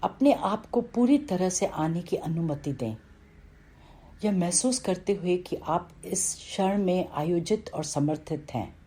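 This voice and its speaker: A woman reading a scripted guided meditation in a calm, instructional way, with pauses between phrases. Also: fairly low pitch, husky.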